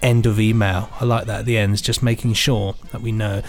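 A man's voice: lowish voice, little pitch movement, a little fast, faint music behind. A man, co-hosting a podcast, chatting in a rambling, good-humoured way and reading out listener emails. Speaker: podcast co-host.

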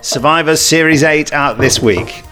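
A man's voice: a little high, a little slow, music in the background. A British man hosting a podcast with jokey, self-deprecating, rambling casual chat. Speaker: podcast host. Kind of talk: unscripted casual banter.

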